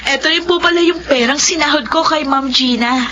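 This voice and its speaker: A woman's voice: sits high, a little fast, bright, forceful tone, slight background noise. A woman playing an earnest, polite teenage daughter in a Filipino radio drama, with hope and devotion. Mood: proud, eager. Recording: dull radio drama audio, music behind.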